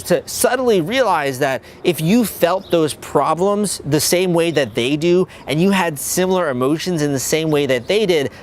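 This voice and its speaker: A man's voice: on the high side, a little hiss or hum. Upbeat man coaching on camera. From El Salvador, grew up in the US. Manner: upbeat, conversational, lots of "like" and "you know". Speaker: crowdfunding coach and channel host. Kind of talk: casual talking-to-camera advice video.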